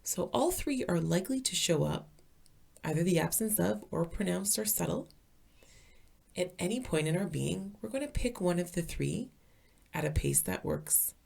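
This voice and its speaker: A woman leading a guided meditation as a teacher, calm, soothing and deliberate, with a soft, breathy voice. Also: on the low side.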